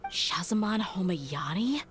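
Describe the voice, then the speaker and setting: A woman voicing a tough, level-headed female mercenary in a video game, measured and matter-of-fact. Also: lowish voice, expressive, over loud music. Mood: troubled, serious.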